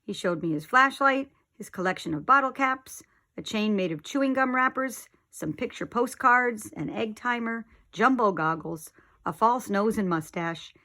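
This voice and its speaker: A woman narrating a children's storybook like a teacher, with deliberate, expressive phrasing. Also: fairly high pitch. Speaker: teacher reading aloud to children. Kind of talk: storybook read-aloud narration.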